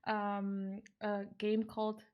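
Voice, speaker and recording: Young female guest, Mexican accent, average pitch, relaxed conversational interview chat. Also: takes it slowly, lo-fi sound. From Guadalajara, Mexico. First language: Spanish.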